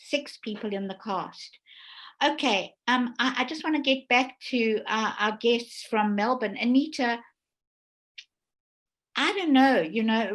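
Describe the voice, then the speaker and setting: A woman hosting an online panel talk, explaining things deliberately and relaying questions from attendees. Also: a little high, a bit bright, low-quality recording. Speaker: host and theatre director.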